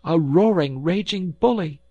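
A man voicing a furious small woman in an audiobook, a shrill wife scolding indignantly. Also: expressive, measured pace, a little mellow, no echo, muffled recording. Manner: shrieking, indignant, wailing. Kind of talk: audiobook character voice.

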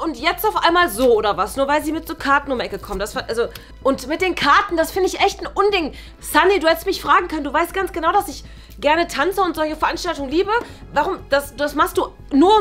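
A woman playing an indignant, high-voiced roommate. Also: a bit bright. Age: young adult. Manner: indignant, halting, colloquial. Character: indignant, sulky young woman roommate Melody, feeling slighted. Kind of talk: improvised web drama for young viewers. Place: living room indoors.

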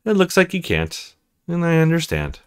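A small, timid young woman , acted out by a man, speaks in a lifted, varied pitch. She is kindly, earnest and apologetic, a visual novel character. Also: huge pitch swings, normal speed, smooth. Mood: gentle concern.

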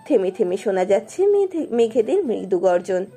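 Lady reading a romance story, mellow and very up-and-down expressive delivery, soft music behind. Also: medium pitch, brisk, breathy edge. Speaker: story narrator.

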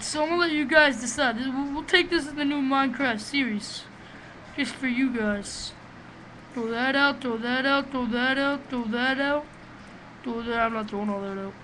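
A man with a small, high voice giving rambling, profane, excitable commentary while playing a game, recorded on a webcam microphone. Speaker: gamer doing a let's play. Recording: noisy home recording.

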